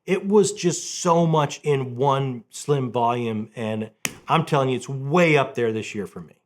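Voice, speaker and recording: A man's voice: medium pitch, a little slow, slight background noise. A middle-aged man chatting casually and enthusiastically about books as a channel host, repeating phrases for emphasis. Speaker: host of a book review channel.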